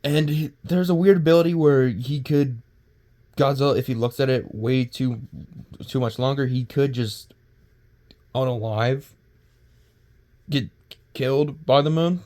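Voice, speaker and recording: A man giving casual, unscripted commentary as a video creator, rambling and halting, often stumbling over words and starting again. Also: medium pitch, darkish tone.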